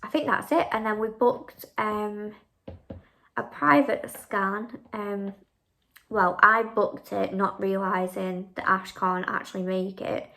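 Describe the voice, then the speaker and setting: A woman's voice: medium pitch, fairly even intonation, slow, sharp tone, poor audio. A young woman chatting casually in a vlog, answering viewer questions with lots of fillers like "um" and "like". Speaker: vlogger.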